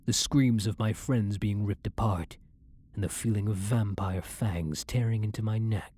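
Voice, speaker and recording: A man's voice: fairly low pitch, unhurried, mellow, slightly rough. A man playing a centuries-old vampire hunter who is himself a vampire, blunt and brooding, in a dramatic ASMR roleplay monologue. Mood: haunted.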